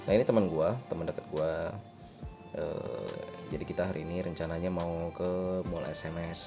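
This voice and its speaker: A man in his late twenties with a low voice chats through a casual vlog. He rambles, stops to correct himself and laughs at his own jokes. Music plays clearly behind him. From Indonesia, born in Medan. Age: late 20s. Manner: informal Jakarta slang. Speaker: vlogger. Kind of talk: casual personal vlog talk. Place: riding on a motorbike through traffic, out of doors. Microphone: motovlog helmet camera.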